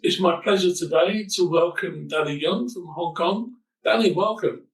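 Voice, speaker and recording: Distant, high-voiced male host. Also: normal speed, reverberant. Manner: welcoming, asks open questions. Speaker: podcast host. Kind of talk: interview introduction.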